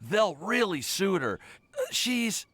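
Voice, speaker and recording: A man's voice: sits high, big pitch swings, slow pace, fairly bright tone, husky, no echo, exaggerated voice. An actor playing a timid, lovestruck young man in an anime game, stammering and nervously apologetic. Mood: embarrassed, sheepish.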